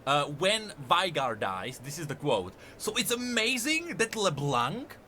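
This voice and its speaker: Male lore commentator, enthusiastic and emphatic, bright forceful tone, very lively intonation, slight noise behind. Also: on the high side, measured pace. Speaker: reactor and game lore commentator. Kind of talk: excited off-the-cuff reaction commentary.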